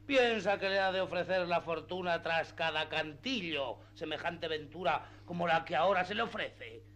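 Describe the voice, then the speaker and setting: A man's voice: fairly high pitch, moderate pace, fairly bright tone, close to an everyday voice. An actor playing a simple, greedy peasant squire in a comic radio drama, exclamatory and rustic in his protests. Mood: indignant, pleading.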